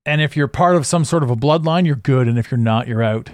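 A man hosting a solo podcast, talking intensely and repeating words as his voice swings between loud and quiet. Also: medium pitch, fast, dry recording, clean studio sound. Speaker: podcast host.

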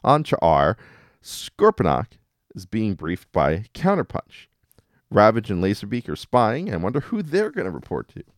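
A man hosts a casual fan podcast in a fairly low voice. His intonation is very animated and he talks in a conversational, enthusiastic way. The sound is close and dry. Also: a little slow, no echo. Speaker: podcast host. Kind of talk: casual fan podcast, recapping cartoon episodes. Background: music under the voice.

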